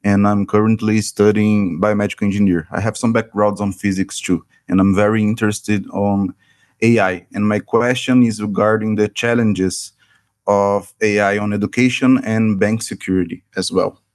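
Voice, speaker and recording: A man's voice: on the low side, steady tone, moderate pace, no echo, studio quality. A man in his twenties from Brazil, a student politely introducing himself and asking a question on a video call. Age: 20s.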